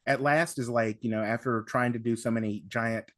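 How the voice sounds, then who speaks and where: A man on a podcast panel giving casual analysis, halting and repeating words as he builds his point. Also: mid-range voice, fairly even intonation, a bit bright, no room sound, clean studio sound.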